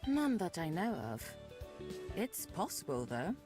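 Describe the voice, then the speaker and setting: A woman's voice: fairly low pitch, very animated, a little slow. A woman voicing a genteel, kindly vampire hostess in a visual novel, with formal, gracious diction. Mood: surprised, helpful. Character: genteel, kindly female vampire who keeps bats, hospitable. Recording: music faintly behind the voice.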